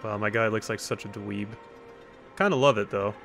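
A man's voice: fairly low pitch, normal speed, fairly bright tone, faint music behind. A man giving casual let's play commentary with wry, joking asides.

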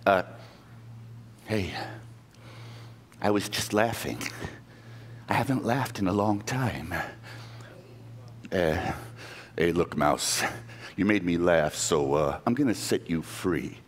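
A man voicing a gruff, boastful male lion in a live children's story, speaking low and slow. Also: expressive. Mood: grudging.

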